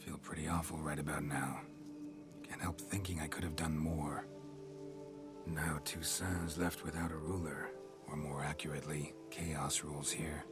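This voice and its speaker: An actor playing a stoic, world-weary monster hunter in a fantasy game, speaking tersely in a low gravelly voice. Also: male voice, normal speed, over music. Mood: remorseful. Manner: terse, clipped, flat delivery.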